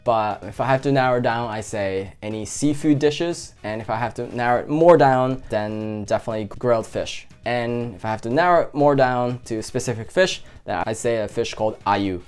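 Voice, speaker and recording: A man with a Japanese accent hosting a cooking channel, calmly reading out viewer questions and answering them. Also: mid-range voice, clear, resonant tone. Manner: calm, gentle, unhurried.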